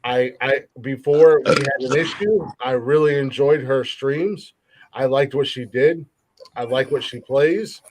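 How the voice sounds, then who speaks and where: A man's voice: mid-range voice, measured pace, poor audio. A man with an American accent hosting a casual chat show, blunt and given to swearing. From United States. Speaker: podcast host.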